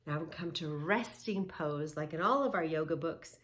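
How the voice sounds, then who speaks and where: A woman's voice: deep voice, normal speed, fairly bright tone. A woman reading a children's book aloud as a yoga teacher, encouraging young listeners with questions, repetition and simple instructions. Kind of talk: children's read-along with movement instructions and counting.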